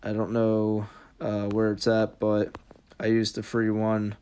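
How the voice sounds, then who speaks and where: A man's voice: fairly low pitch, monotone, takes it slowly, mellow, clear, resonant tone. A man giving a casual how-to voice-over as the host of an app tutorial.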